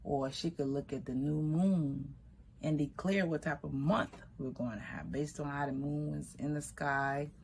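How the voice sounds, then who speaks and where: A middle-aged woman from Liberia telling stories about her grandmother in a relaxed, conversational way. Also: deep voice, measured pace, vocal fry, slight background noise. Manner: relaxed, conversational, shifting pace, Liberian English phrasing. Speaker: vegan food business owner. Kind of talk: personal storytelling.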